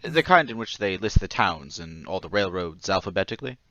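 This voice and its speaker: A man's voice: low-pitched, very animated, fairly quick, bright, forceful tone, dry recording, muffled recording, exaggerated voice. An actor playing a meek, forgetful former travelling salesman in old-time radio drama style, hesitant, apologetic and fretful. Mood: bitter, troubled.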